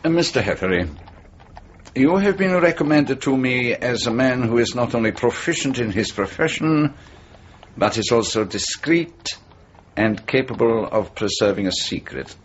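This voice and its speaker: An actor playing a secretive, insistent colonel in a radio drama, speaking in a formal and deliberate manner. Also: male voice, mid-range voice, lively intonation, muffled recording, slight background noise, natural voice. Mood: formal, probing. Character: secretive, insistent, thin middle-aged male colonel.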